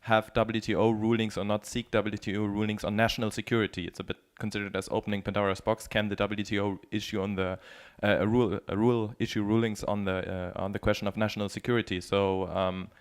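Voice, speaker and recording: A man's voice: lowish voice, flat delivery, fast, few pauses. A man working as a journalist, asking a panel a long question from the audience with many hesitations. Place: conference room.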